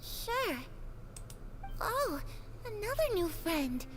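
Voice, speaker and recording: A woman's voice: very high-pitched, very animated, drawn-out words, music in the background, full character voice. A woman voicing an innocent, slightly confused little ghost girl in a video game. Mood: innocent, unbothered.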